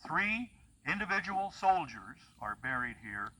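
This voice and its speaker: Man, fairly high voice, unhurried solemn roll call outdoors, names read with falling endings. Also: sing-song, pushed and bright, slight background noise, rough recording. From Illinois. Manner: slow, measured, dropping at each line end. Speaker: reader of veterans' names. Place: outdoor cemetery ceremony.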